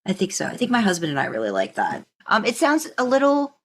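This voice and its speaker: A woman hosting a casual chat, responding to a guest in a halting, loosely phrased way. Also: mid-range voice, wildly swooping pitch. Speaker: podcast host.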